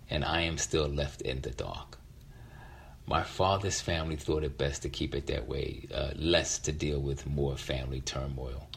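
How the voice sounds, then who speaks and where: Low-voiced middle-aged man telling a story. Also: a bit bright, slight background noise. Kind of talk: casual personal storytelling.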